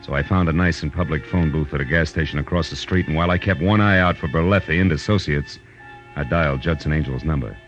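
Fast, deep, hard-boiled private eye. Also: male voice, lo-fi sound, faint music behind. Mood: tense, wry. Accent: American. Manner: clipped, wry, hard-boiled delivery. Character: hard-boiled male private detective, wry and urgent. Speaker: lead actor and first-person narrator. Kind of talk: 1940s radio detective drama acting. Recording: old radio broadcast recording.

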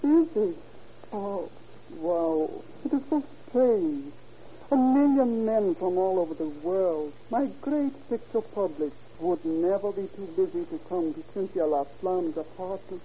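Muffled old radio with faint noise presents a grandiose film diva. She sighs theatrically in a very mellow, dark voice, full of affected self-pity. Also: fairly low pitch, lively intonation, unhurried. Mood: lovelorn, melodramatic. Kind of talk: old-time radio acting.

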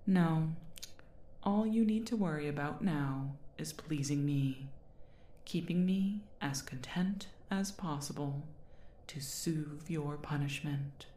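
Female performer as demon queen for ASMR roleplay: slow, mellow, imperious, teasingly menacing. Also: sits low, echoey room. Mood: gloating menace. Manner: imperious, condescending, theatrical, drawn-out.

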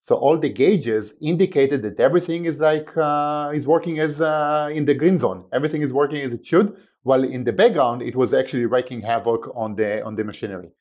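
A man with an Israeli accent, a company president guesting on a podcast, talking in a measured way with many pauses and fillers. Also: mid-range voice, darkish tone. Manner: measured, filler-heavy, wry. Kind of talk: conversational interview answers.

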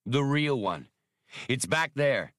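A man's voice: mid-range voice, lively intonation, slow, a bit bright, no echo, voice pushed up. Guy doing a cocky outlaw pilot in an audiobook, short challenging lines, dry sound. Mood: insistent. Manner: terse, blunt, challenging. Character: defiant roguish male pilot outlaw. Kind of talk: audiobook character dialogue.

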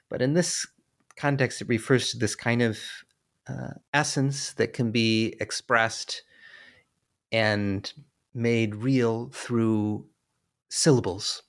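A man being interviewed as an author and scholar, explaining ideas in a measured way with frequent ums and uhs. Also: fairly low pitch, gravelly.

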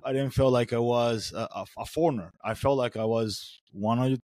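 A man from Venezuela hosts a podcast in a relaxed, friendly way, with frequent laughs and quick affirmations. The recording is dry and close, with no room sound around his voice. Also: mid-range voice, no echo. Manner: easygoing, laughs often, affirms with "yeah". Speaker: podcast host. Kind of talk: casual podcast interview.

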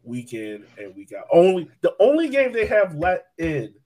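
A man's voice: high-pitched, huge pitch swings, normal speed, a little mellow. A man hosting a soccer podcast, talking casually and conversationally, often saying "like" and "you know". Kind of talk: casual sports talk.

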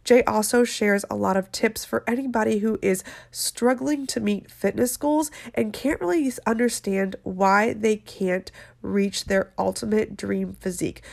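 A woman's voice: mid-range voice, creaky, darkish tone. A woman hosting a nutrition podcast, talking casually with a nasal, congested voice from a head cold. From Florida. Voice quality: nasal, stuffed-up. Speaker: podcast host, dietitian. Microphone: video call.

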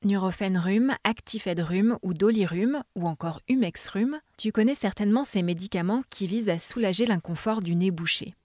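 A woman narrating a scripted health podcast, speaking clearly and informatively to the listener. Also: medium pitch, moderate pace, hardly any pauses, a little mellow, no echo, clean studio sound.

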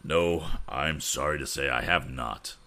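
Man reading aged bank president, weary, grave, measured, very low. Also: pushed and bright, exaggerated voice.

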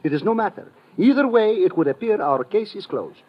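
An actor playing a fussy, excitable foreign police inspector, formal and emphatic, in an old radio detective drama. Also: male voice, sits high, a little fast. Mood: annoyed. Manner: formal, pedantic, emphatic, without contractions. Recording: muffled lo-fi old radio recording.

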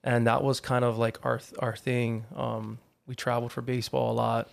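A man in his thirties from Hawaii, a guest chatting in a relaxed way on a podcast interview, with lots of "like" and "you know". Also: medium pitch, moderate pace, gravelly. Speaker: guest, artist manager.

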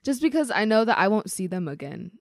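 A young woman talks casually as a podcast co-host. Her pitch is average and her phrasing is tentative, with frequent hedges. The recording is dry and free of room echo. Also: very animated, fast. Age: young adult. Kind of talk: casual chat.

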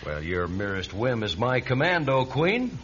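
Flamboyant male radio star, theatrical and self-absorbed, very varied pitch, radio drama, dull lo-fi sound. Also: very animated, measured pace, fairly bright tone, slight background noise. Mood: playful, gallant. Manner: theatrical, mock-gallant and grandiose.

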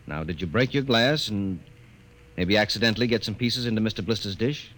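A man's voice: lowish voice, brisk, fairly bright tone, natural voice. An actor playing a stern, worried father in a vintage radio drama, questioning his family. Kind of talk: 1950s radio drama acting. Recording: old radio recording, faint hiss.

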